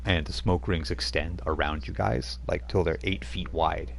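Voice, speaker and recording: A man's voice: sits low, fairly even intonation, normal speed, vocal fry, slight background noise. A man running a tabletop roleplaying game as game master, telling the scene in a rambling, chuckling way with many fillers.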